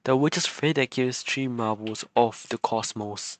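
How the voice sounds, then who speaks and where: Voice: medium pitch, a little slow. A streamer giving casual, giggly gameplay commentary and often exclaiming to themselves. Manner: exclaiming, giggly, self-talking. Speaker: streamer playing a game. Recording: faint game music behind. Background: game music and dialogue.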